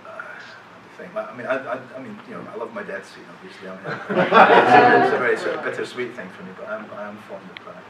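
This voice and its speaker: A middle-aged actor telling rambling, self-deprecating stories as a guest on a convention panel, with many restarts and chuckles. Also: male voice, on the low side, big pitch swings, fairly quick, hardly any pauses. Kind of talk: casual anecdotes to a live audience. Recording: echoey hall, distant mic, loud room noise.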